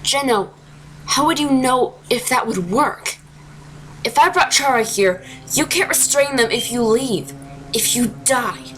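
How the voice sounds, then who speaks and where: A woman's voice: high-pitched, animated, unhurried, a bit bright, low-quality recording. A woman voicing an earnest, pleading male skeleton in a dramatic comic dub, with music behind. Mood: worried.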